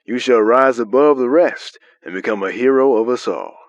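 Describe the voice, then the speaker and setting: A man playing a smug, scheming manipulator in an audio drama, with a theatrical, unhurried delivery. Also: medium pitch, close to an everyday voice. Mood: persuasive, scheming. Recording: dull lo-fi, roomy echo.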